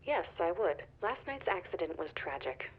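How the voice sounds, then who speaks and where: A woman's voice: on the low side, big pitch swings, normal speed, husky. Guarded corporate woman on tinny phone. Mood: polished, defensive. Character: nervous, guarded corporate mining company spokeswoman. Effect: phone call filter. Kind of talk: naturalistic video game acting. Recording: tinny, narrow phone line.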